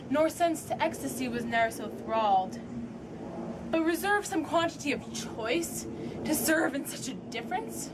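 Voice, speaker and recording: Woman doing an anguished shakespeare prince, accusing his mother, slow dramatic verse, highish voice. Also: noisy background. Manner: declamatory, impassioned, deliberate. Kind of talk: stage Shakespeare monologue acting.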